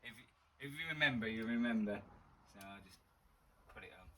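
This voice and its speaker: A man's voice: fairly low pitch, a little fast, a bit bright, slight background noise. An older man from the UK, chatting casually and rambling, with many fillers as he reminisces. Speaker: vlogger. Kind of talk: casual chat to camera. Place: outdoors in a garden.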